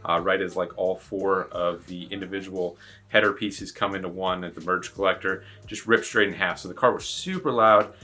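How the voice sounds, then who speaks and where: A man with a low-pitched but bright, punchy voice talks casually to his viewers. He rambles along in a chatty way, explaining things like a rally driver hosting his own video. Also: steady tone, normal speed.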